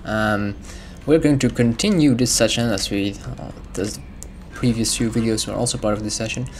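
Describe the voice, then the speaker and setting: A man whose first language is Dutch, talking casually through a gameplay session with frequent ums and soft chuckles. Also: on the low side, normal speed, slight background noise. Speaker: gamer. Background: video game music.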